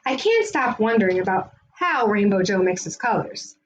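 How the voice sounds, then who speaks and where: A woman reading a children's picture book aloud in an expressive storytelling style. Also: medium pitch, normal speed, slight background noise.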